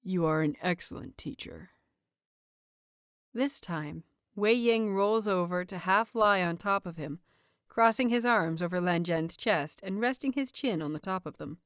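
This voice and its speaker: A woman's voice: mid-range voice, moderate pace, a bit bright, clean tone, no echo, studio quality. A woman reading a scripted story aloud as the narrator of an audio fiction series.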